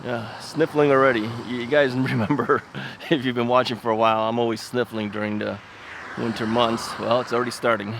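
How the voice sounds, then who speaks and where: A man talks casually into a bike-mounted camera as he rides along a city street. His delivery is rambling and folksy, full of filler words and little chuckles. Cars and street noise come through clearly behind him. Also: mid-range voice, little pitch movement, a little fast, fairly bright tone. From United States. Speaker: ebike video host. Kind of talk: casual chatty vlog while riding. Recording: outdoor street recording with traffic noise. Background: traffic and street noise.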